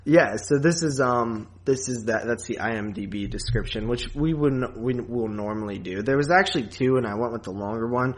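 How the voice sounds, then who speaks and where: A man co-hosting a podcast, talking casually about films in a rambling, halting way. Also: medium pitch. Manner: rambling, halting, filler-heavy. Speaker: podcast co-host. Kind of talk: casual movie discussion.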